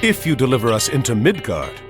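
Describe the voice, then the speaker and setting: An actor playing a resolute, curt warrior commander in a mythological video game cutscene. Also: male voice, mid-range voice, wildly swooping pitch, brisk, faint music behind. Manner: firm, curt.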